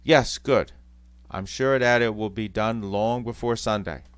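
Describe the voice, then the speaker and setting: A man voicing Bob Cratchit, a kind, weary father who speaks warmly and a little haltingly. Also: on the low side, clear, resonant tone, no room sound, lightly acted. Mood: cheerful.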